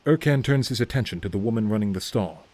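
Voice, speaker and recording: A man's voice: fairly low pitch, animated, fast, a little mellow. A man reading scripted narration for a fantasy story as its narrator.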